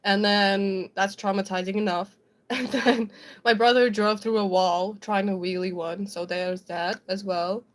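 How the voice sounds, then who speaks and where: A woman's voice: mid-range voice, steady tone, measured pace. A young South African woman whose first language is Afrikaans, with an American-sounding accent, chatting casually on a livestream and answering viewer comments, giggly, with lots of ums and likes. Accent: American-sounding English.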